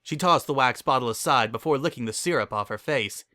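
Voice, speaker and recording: A man's voice: mid-range voice, brisk, sharp tone, no room sound, studio quality. A man narrating a dramatic reading of a story.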